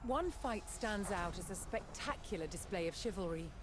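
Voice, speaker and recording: A woman reading scripted historical documentary narration in a measured, dramatic storytelling manner. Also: medium pitch, animated. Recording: loud music under the voice. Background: loud background music.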